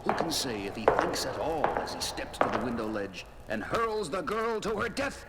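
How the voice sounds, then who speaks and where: A man's voice: fairly high pitch, very animated, normal speed, heavy background noise. A man narrating a horror audio drama in a portentous, theatrical manner. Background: dramatic music score.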